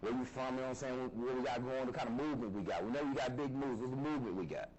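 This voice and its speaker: A man hosting a casual podcast interview, relaxed and repeating "all right, bet" as he asks questions. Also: mid-range voice, racing. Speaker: podcast host. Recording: noisy background.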